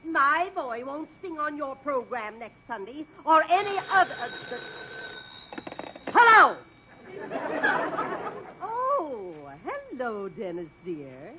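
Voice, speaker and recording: A woman's voice: sits high, big pitch swings, slow, long pauses, a crowd in the background, heavily acted. A woman playing a brash, domineering, middle-aged mother with a combative, sarcastic manner in a 1950s radio comedy. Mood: grudging. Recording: muffled, noisy 1950s radio, faint audience.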